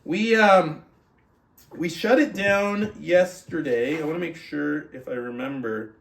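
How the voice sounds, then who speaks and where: Higher-voiced man in a roomy, distant recording. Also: a little slow, creaky, a bit bright. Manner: earnest, conversational, rhetorical check-ins like "right?". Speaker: book club host, motivational reader. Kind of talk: motivational talk and reading a book aloud. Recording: roomy echo, distant mic.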